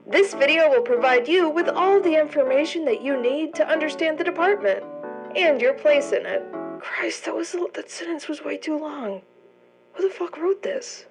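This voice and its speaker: A woman's voice: high-pitched, rough recording. A woman playing a polished orientation video narrator, over-enunciating an official script in an audio drama. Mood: exasperated. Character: cheery female corporate orientation narrator, privately exasperated.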